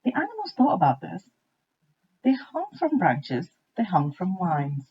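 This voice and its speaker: Expressive woman storyteller, lively husky voice. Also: lowish voice, unhurried, highs cut off. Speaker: teacher reading a storybook aloud. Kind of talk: expressive children's story narration.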